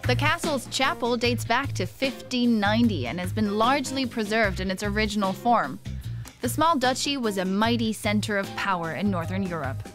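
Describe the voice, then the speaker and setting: A young adult woman presenting a travel show, speaking in clear, measured scripted narration. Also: mid-range voice, expressive, over music.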